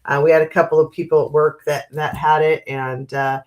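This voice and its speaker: A woman's voice: low-pitched, flat delivery, brisk, darkish tone, dull audio. A woman in her fifties hosting a casual livestream, chatty and greeting viewers by name, with a stuffy, congested nose from a cold. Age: 50s. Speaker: livestream host.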